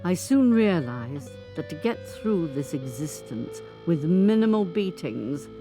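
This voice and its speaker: An eighty-five-year-old woman, a dignified survivor recounting her married life. Her voice sits on the low side and moves with lively, expressive inflection. She speaks in measured, articulate sentences as in an audio drama monologue, over instrumental guitar music. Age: older. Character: 85-year-old grandmother, abused former footballer's wife, dignified survivor. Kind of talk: dramatic first-person monologue, scripted storytelling. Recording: studio recording with guitar music underneath.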